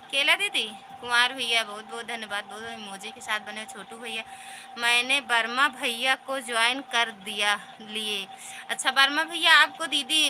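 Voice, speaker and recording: A woman's voice: high voice, slight background noise. A woman with a rural north Indian Hindi accent hosting a live stream, reading out viewers' comments and thanking them one by one in a casual, repetitive way. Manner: warm, repetitive greetings and thanks.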